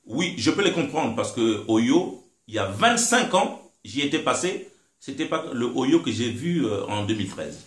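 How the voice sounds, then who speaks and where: A man's voice: medium pitch, very animated, normal speed. A middle-aged man from Congo, talking indignantly and emphatically as an interview guest in a living room. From Republic of the Congo. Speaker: guest, political commentator. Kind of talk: impassioned interview talk. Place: living room, seated in armchair. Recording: roomy echo.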